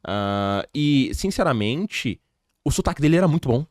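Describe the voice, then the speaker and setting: Animated Brazilian man hosting. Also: mid-range voice, moderate pace, no room sound, clean studio sound. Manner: animated, emphatic, addresses the audience directly. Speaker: podcast host and English teacher. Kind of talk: casual interview chat. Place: podcast studio.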